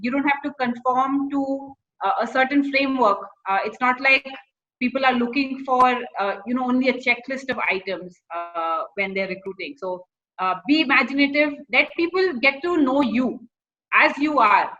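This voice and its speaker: A woman's voice: high-pitched, moderate pace, pushed and bright, muffled recording. A woman with an Indian accent giving informal career advice to students, slipping between English and Hindi phrases.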